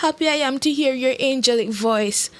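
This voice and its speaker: A woman's voice: sits high, moderate pace, voice pushed up, close to an everyday voice. A woman voicing a heartbroken, loving mother in a story, emotional and imploring. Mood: tender. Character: heartbroken, loving mother pleading for her family.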